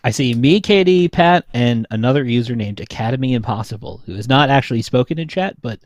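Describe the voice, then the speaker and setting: A man's voice: medium pitch, lively intonation, a little fast, dry recording. An American man in his thirties co-hosting a casual chat, explaining things in an easygoing way. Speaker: podcast co-host.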